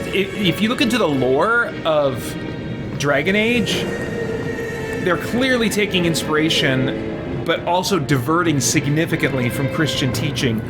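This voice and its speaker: A man giving casual, reflective let's play commentary, tentative and thinking aloud, with game music behind him. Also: fairly high pitch. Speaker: game streamer.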